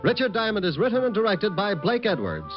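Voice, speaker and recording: A man's voice: sits high, wildly swooping pitch, fairly quick. An American man reading scripted credits and promos as an old-time radio announcer. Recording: muffled vintage radio recording. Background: music.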